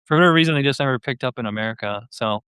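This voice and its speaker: A man's voice: mid-range voice, racing, a bit bright, smooth, no room sound. Casual male podcast host, dry clean sound.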